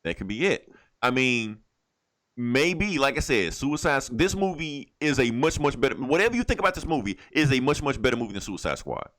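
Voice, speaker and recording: A man with very lively, swinging intonation hosts a casual podcast. He talks in an opinionated, emphatic way, repeating himself to drive points home and swearing freely. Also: medium pitch, a little fast. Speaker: podcast host.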